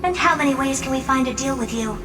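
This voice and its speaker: An actress playing a confident, mischievous genius in a video game, smug and theatrical. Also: female voice, high voice, quick pace, roomy sound, long reverb tail, recorded from a distance, over music, natural voice. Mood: smug, gleeful. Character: confident, mischievous female genius relishing a contest.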